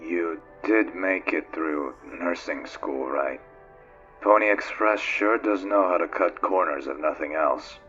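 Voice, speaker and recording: Low, brooding, dry space captain man acting. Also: unhurried, fairly bright tone, muffled recording. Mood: weary. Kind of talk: dramatic fan dub of a video game. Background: music.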